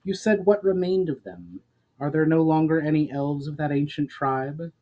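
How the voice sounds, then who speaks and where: A man's voice: a little high, animated, normal speed, warm tone, voice pushed up, close to an everyday voice. A man voicing an earnest, inquisitive noblewoman in a fantasy storybook reading, with formal, questioning delivery. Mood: curious, concerned.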